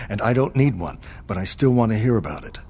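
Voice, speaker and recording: An actor playing a playful, teasing superior officer in an audio drama, with a husky voice and an amused, theatrical manner. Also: medium pitch, lively intonation, a little fast, darkish tone.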